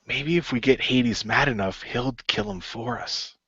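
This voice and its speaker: Man, medium pitch, improvised radio play, hesitant dialogue and narration, dull dry recording. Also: measured pace, bright, forceful tone, slightly rough, no echo. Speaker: player in fan radio play.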